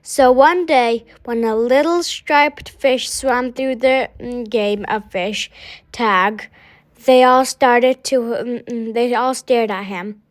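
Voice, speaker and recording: Slow, high-voiced woman reading a storybook. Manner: halting, stumbling over words. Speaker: storybook reader. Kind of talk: children's story read-aloud.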